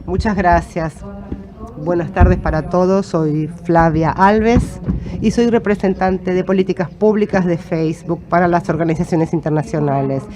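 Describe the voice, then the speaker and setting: A woman interpreting a panelist's talk, with steady, even delivery. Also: fairly low pitch, unhurried, noisy background.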